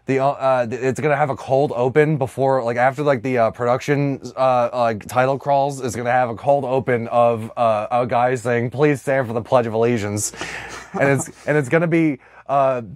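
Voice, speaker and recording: A man's voice: mid-range voice, moderate pace, hardly any pauses, no echo. A man co-hosting a casual comedy podcast, joking in an irreverent, slangy, rambling manner. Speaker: podcast co-host.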